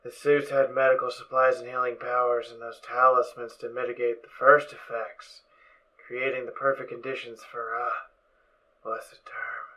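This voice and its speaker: A man playing a distracted, brainy ghoul pony scientist in an amateur audio drama, lecturing in a brisk, absorbed way. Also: medium pitch, flat delivery, bright, forceful tone, natural voice, poor audio. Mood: explaining.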